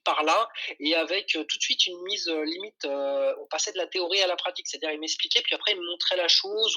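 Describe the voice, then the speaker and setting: A man's voice: high voice, pushed and bright, poor audio. A man, answering interview questions in an informal, enthusiastic, explanatory way. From France. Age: 40s. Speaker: podcast guest, tour guide and photographer.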